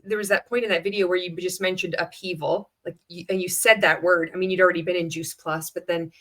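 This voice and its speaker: A woman's voice: fairly low pitch, flat delivery, fast, fairly bright tone. A woman hosting a casual interview, playful and supportive. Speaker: host.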